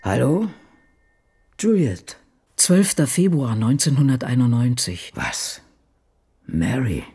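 A man's voice: medium pitch, darkish tone, husky, exaggerated voice. A male actor playing an embittered, solitary seventy-year-old woman writer in a radio drama, reading out a diary in dry, clipped, muttered fragments.

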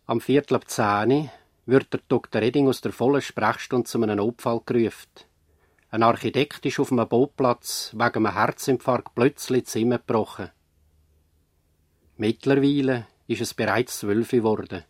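An earnest male radio play narrator with a medium-pitched voice. He speaks in deliberate, rhetorical phrases, recorded very dry. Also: steady tone, husky, no room sound, lightly acted. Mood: matter-of-fact, urgent. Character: earnest, probing narrator-commentator of a medical drama.

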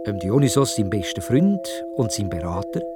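A man with a Swiss German accent telling a children's story in dialect, expressive and playful. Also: fairly low pitch, moderate pace, loud music behind the voice.

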